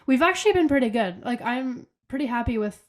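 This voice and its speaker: A woman's voice: on the high side, lively intonation, fairly quick, a crowd in the background. A young woman with a cold and a stuffy, congested voice, co-hosting a casual podcast from her bedroom over a video call, chatty and upbeat with lots of fillers. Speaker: podcast co-host. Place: bedroom at home.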